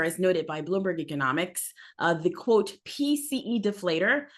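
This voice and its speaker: A woman hosting a market news show, reading a scripted roundup. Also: medium pitch. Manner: reads script with lively intonation. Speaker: show host.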